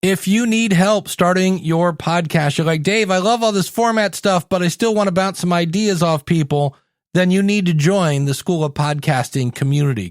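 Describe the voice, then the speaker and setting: A man with a somewhat high voice hosts a podcast in a very dry recording. He speaks to the listener conversationally, moving through plugs and sign-offs like a coach who knows his audience. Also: no echo. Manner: conversational, addresses the listener directly.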